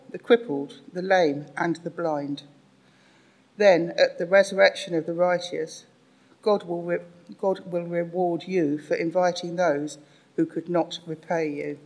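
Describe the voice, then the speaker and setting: A man reading a scripture passage aloud in a church in a measured, formal way. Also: fairly high pitch, poor audio. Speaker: scripture reader.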